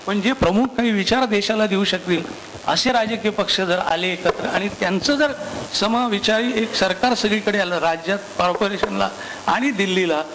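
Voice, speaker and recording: A man speaking as a political panelist, arguing a case and listing examples one by one. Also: sits high. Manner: explanatory, lists examples one by one. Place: live public panel event. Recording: live panel with clear background noise.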